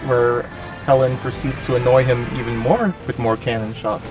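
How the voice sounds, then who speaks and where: A man talking through a cold, his voice nasal and a little gravelly at an ordinary middle pitch. He rambles through game commentary with jokey, self-mocking asides. The mic sits slightly distant, with faint music underneath. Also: steady tone, moderate pace. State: has a cold. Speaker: gaming channel host. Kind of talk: casual voice-over commentary on gameplay.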